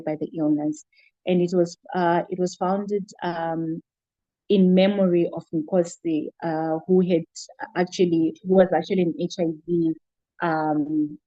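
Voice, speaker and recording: Mellow Zimbabwean woman, muffled roomy sound. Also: lowish voice. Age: middle-aged. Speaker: interview guest, child protection worker. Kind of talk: reflective interview answers.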